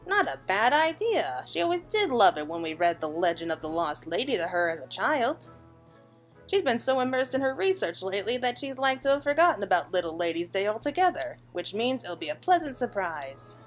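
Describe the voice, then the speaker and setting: A woman's voice: medium pitch, sing-song, fairly quick, faint music behind, close to an everyday voice. A woman voicing an earnest young fighter and doting brother in a video game. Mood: thoughtful, fond.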